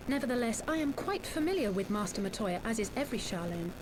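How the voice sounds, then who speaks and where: An actress playing an earnest, dignified noblewoman and loyal ally in a fantasy game, with formal, measured delivery. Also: on the high side, animated, noisy background, close to an everyday voice. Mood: reflective. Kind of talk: dramatic fantasy video game acting.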